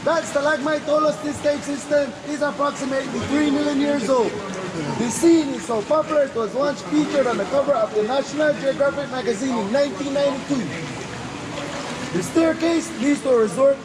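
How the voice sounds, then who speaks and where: A playful man working as a cave tour guide, teasing guests in repetitive, joking patter. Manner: playful, teasing, repeats himself, often addresses people as Mama. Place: inside a dark river cave on the water. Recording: noisy echoing cave, rushing water rumble.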